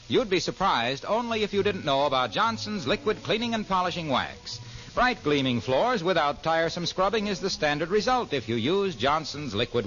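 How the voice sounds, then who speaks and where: A man's voice: a little high, fairly bright tone. A man reading a scripted 1940s radio commercial as an announcer, with polished salesman phrasing. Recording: muffled old radio recording, music behind.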